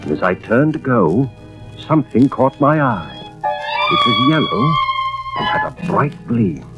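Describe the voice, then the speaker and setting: An American actor playing an intent, hard-boiled male arson investigator in an old radio drama, with terse delivery. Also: on the low side. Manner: terse, suspenseful first-person narration. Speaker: lead actor and first-person narrator. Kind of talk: 1940s-50s radio drama acting. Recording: dull old radio recording, music behind.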